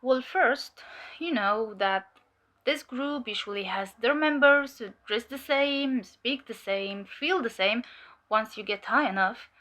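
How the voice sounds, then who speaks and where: A woman playing a weary, sharp undercover cult infiltrator giving hesitant, measured testimony in an audio drama. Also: on the high side. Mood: uneasy, explanatory.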